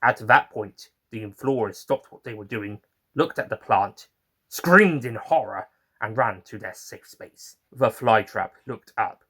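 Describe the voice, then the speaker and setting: A man's voice: on the low side, expressive, a little slow, bright, forceful tone, breathy edge. A man narrating a first-person story theatrically and in character, with chatty asides to the listeners. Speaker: writer and narrator reading in character.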